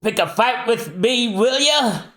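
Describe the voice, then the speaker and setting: A man voicing a brash, hot-headed male shipwright gang boss in a loud, high, shouting voice. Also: animated, slow, roomy sound, full character voice. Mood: angry.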